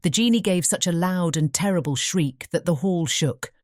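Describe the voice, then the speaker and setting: A woman's voice: lowish voice, normal speed, darkish tone, no echo, studio quality. A woman reading scripted storybook narration as an audiobook narrator.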